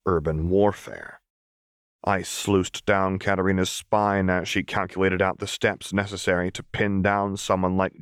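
A man's voice: low voice, no echo, studio quality. A man reading dramatic fiction as an audiobook narrator, in a scripted storytelling style.

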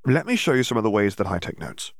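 A man's voice: on the low side, very animated, fast, dry recording, clean studio sound, pitched above the performer's own voice. A man voicing an earnest, bossy, studious teenage schoolgirl in an audiobook story, speaking insistently. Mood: helpful.

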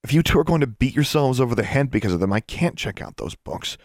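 Schoolgirl, played by a man, raised pitch, earnest, impulsive, caring, direct questions, lively audiobook dialogue. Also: quick pace, no echo, clean studio sound, lightly acted. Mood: resigned, firm. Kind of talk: audiobook character voice.

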